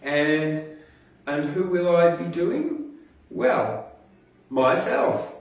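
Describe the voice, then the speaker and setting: Slow-speaking man in a very echoey room. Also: fairly high pitch, sing-song, a little hiss or hum. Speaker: poetry podcast host and reader. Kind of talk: poetry recitation with casual asides between poems. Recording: echoey room, distant from the mic.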